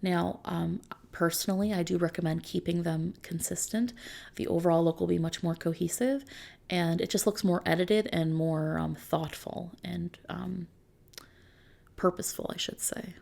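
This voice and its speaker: Soft spoken asmr lady playing a consultant, lowish voice with lots of vocal fry, takes her time explaining. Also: fairly even intonation. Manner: unhurried, explanatory, addressing the listener as a client.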